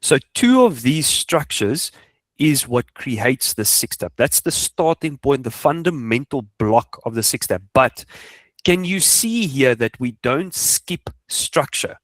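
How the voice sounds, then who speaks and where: Male coach, Afrikaans-speaking South African accent. Informal, emphatic instruction with rhetorical questions, average pitch, very little room sound. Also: animated, slow pace, no echo. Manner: emphatic, repeats points, asks rhetorical questions. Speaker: trading coach. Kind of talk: informal instructional screen walkthrough.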